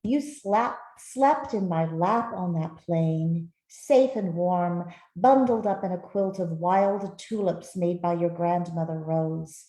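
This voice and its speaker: A woman reading a picture book aloud to children in a gentle, expressive storytelling manner. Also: on the low side, slow pace, few pauses. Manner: gentle, expressive, with soft asides to listeners. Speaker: author reading her own picture book. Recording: roomy, echoey sound.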